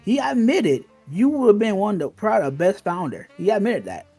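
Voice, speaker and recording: A man's voice: high-pitched, big pitch swings, brisk, vocal fry, mellow, husky, soft background music. A man reminiscing in casual, slangy talk, emphatic and often repeating himself.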